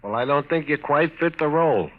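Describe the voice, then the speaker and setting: An actor playing a practical, teasing husband with a wry, patient manner in a vintage radio comedy advert. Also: male voice, a little high, lively intonation, brisk, lightly acted. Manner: wry, explanatory. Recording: muffled vintage radio broadcast.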